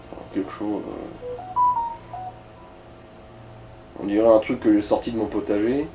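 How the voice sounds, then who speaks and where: A man's voice: lowish voice, fairly even intonation, unhurried, dull audio. A man commentating a video game in casual chat, blunt and slangy with some swearing. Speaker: let's play co-commentator. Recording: distant mic with faint noise.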